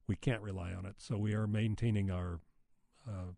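A man's voice: on the low side, fairly even intonation, moderate pace, creaky, mellow, no room sound, studio quality. An American man explaining technical matters in an interview in a plain-spoken, informal way. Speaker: radio station chief engineer.

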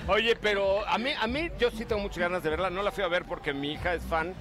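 A man with a Mexican Spanish accent, co-hosting a radio show with playful, teasing banter. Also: high-pitched. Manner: playful, teasing, quick jokes. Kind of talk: joking casual banter.

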